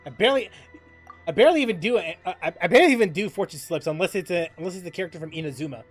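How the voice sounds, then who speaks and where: Gaming streamer, lively intonation, medium pitch, joking casual chat, mild swearing, faint background music. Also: racing. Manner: animated, joking, mildly profane, reads chat aloud. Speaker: gaming livestreamer. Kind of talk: casual livestream chat with game commentary.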